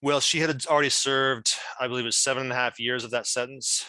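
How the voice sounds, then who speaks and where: A man answering questions at an online meeting, speaking earnestly in a halting, hesitant way. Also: medium pitch, steady tone, sharp tone, no echo, poor audio. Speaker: legal team member as guest speaker. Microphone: video call.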